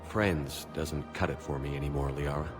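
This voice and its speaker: Deep, steady military commander. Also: male voice, normal speed, music in the background. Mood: tender, sincere. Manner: calm, concise, sincere. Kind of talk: video game voice acting.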